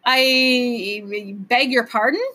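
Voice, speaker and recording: Lady doing a male storybook professor, flustered, apologetic, pleading, bright high-ish voice. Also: steady tone, slow, exaggerated voice. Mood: bewildered, apologetic. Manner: hesitant, pleading, explanatory.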